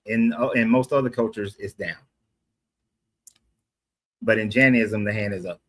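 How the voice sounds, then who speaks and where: A man's voice: lowish voice, unhurried. A man from Atlanta debating on the street, explaining at length and correcting himself as he goes. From Atlanta, USA. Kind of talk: casual street conversation.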